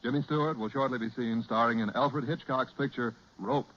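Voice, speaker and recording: American radio announcer on muffled old recording. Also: male voice, medium pitch, fairly quick, noisy background. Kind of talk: 1940s radio announcing and advertising.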